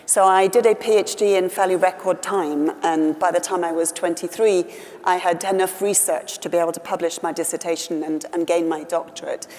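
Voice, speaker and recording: Lady, middle-aged, thoughtful, kinda low voice, big echoey conference hall. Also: steady tone, a little fast, darkish tone. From West Wales. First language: Welsh. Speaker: award honoree. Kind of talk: on-stage conversation.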